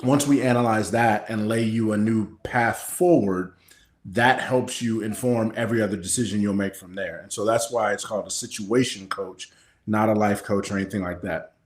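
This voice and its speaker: A man's voice: fairly low pitch, steady tone, normal speed. American man in a roomy, echoing space. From Kansas City, Missouri, United States. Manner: straightforward, explanatory. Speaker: guest, personal development coach. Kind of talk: conversational interview answers.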